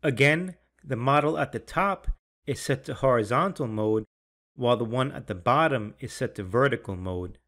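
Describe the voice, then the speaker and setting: A man reads a scripted technical walkthrough in a measured, even way. His voice sits at an ordinary middle pitch. It is a steady voice-over for a product tutorial. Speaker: sales and applications engineer. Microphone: voice-over over slides and software screens.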